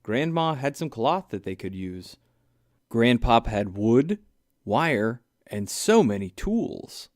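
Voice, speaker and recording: A man narrating a rhyming children's story aloud with expressive, deliberate phrasing. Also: medium pitch, no echo, clean studio sound.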